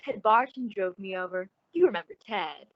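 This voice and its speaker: An actress playing a gushing, solicitous, sociable woman with effusive, drawn-out emphasis in an old-time radio suspense drama. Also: medium pitch, lively intonation, no room sound, lo-fi sound, lightly acted. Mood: breezy, effusive.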